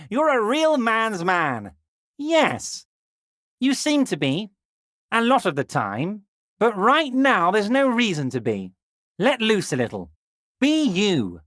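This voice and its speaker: An actor playing a scheming middle-aged union boss who flatters in a smug, drawn-out way, in a video game. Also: male voice, high-pitched, no echo, studio quality, full character voice. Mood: oily flattering. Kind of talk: video game character dialogue.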